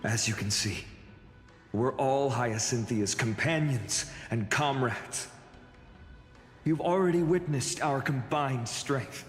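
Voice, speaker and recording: An actor playing a calm, polite young male warrior in a video game, speaking in a measured way. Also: medium pitch, lightly acted. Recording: roomy reverb, faint music.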